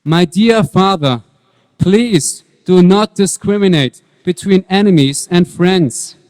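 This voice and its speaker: A man playing a devout, fearless young prince in a dramatic reading, speaking with calm, earnest wisdom. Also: high voice. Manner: earnest, measured preaching. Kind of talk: dramatic scripture reading on stage. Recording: long hall reverb.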